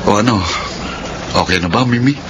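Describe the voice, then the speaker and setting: An actor playing a smooth-talking, generous male suitor in a Filipino radio drama, persuasive in manner. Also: fairly low pitch, moderate pace, lightly acted. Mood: charming. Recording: noisy radio drama recording.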